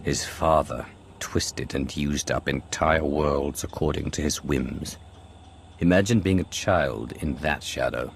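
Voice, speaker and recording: An actor playing a composed, commanding dark lord in a video game, measured, formal and superior. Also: male voice, rumbling low, vocal fry, faint music behind, full character voice. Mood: cool, pensive. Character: haughty, composed male Sith lord, cool and commanding. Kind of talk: scripted video game drama acting.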